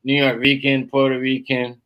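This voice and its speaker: A man's voice: mid-range voice, monotone, normal speed, highs cut off, a crowd in the background. A man in a casual chat, affable and slangy, often repeating his phrases.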